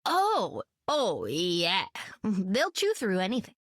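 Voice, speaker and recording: An actress playing a quirky, perky young heroine, chirpy and chatty, in a video game. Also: female voice, medium pitch, wildly swooping pitch, takes it slowly, dry recording, clean studio sound, heavily acted. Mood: cheery. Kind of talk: video game character acting.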